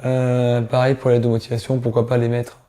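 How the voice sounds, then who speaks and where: A middle-aged male career coach talks straight to camera, his voice hoarse from a cold. The tone is very dark and mellow. He pushes his listeners to act in a direct, coaxing manner. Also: medium pitch, monotone, brisk. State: hoarse from illness. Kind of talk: casual advice talk to camera.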